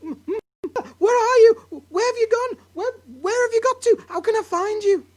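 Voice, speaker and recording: A woman voicing a panicky, excitable farm wife with a high, loud, flustered voice that stammers. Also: normal speed. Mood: wailing.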